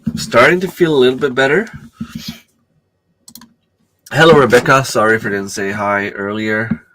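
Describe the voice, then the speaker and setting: A middle-aged man with a hoarse voice from a cold, chatting casually on a home live stream and greeting viewers by name. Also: mid-range voice, fairly even intonation, moderate pace, fairly bright tone, rough recording. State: losing his voice from a cold. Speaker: channel host.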